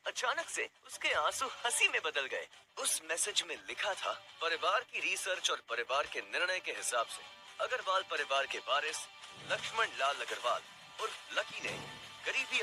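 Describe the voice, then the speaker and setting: A man's voice: on the high side, pushed and bright. A man narrating a scripted story in a dramatic style.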